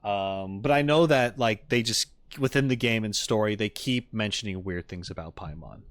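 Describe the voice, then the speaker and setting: A man's voice: on the low side, moderate pace, dry recording. A man streaming casually to viewers, rambling through his thoughts and acting out quotes with emphasis. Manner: rambling, emphatic quoting of others. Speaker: streamer reacting to game videos. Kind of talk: casual chat with viewers, theorizing.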